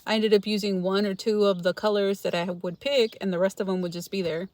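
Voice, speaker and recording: A middle-aged woman from Los Angeles chats loosely with her viewers, answering them by name. She laughs often, and her pitch sits in the middle range for a woman. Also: steady tone, fairly quick, darkish tone, no room sound. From Los Angeles, California. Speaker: frugal-living channel host reading viewer comments. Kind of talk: casual livestream chat.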